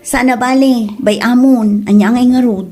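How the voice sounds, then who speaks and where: A woman's voice: on the high side, steady tone, normal speed, mellow, soft background music, natural voice. A woman playing a bossy, scheming married woman in a comedy drama, with an emphatic, scolding way of talking. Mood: worried. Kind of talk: comedy drama voice acting in Ilocano.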